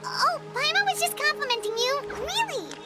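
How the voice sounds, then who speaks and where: A woman voicing a small, childlike, excitable fairy companion in a video game, squeaky and exclamatory. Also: normal speed, loud music behind the voice. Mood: flustered. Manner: exclamatory, refers to herself in third person. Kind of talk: animated video game voice acting.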